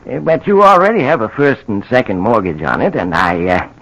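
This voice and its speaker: A man's voice: mid-range voice, lively intonation, fairly quick, a bit bright, low-quality recording. An actor playing an apologetic, hesitant male loan officer in an old-time radio drama, hemming politely.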